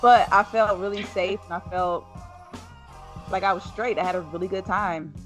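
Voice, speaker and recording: Raspy young woman hosting a podcast. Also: medium pitch, steady tone, moderate pace, soft background music. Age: young adult. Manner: laid-back, laughs often. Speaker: host. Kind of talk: casual podcast chat.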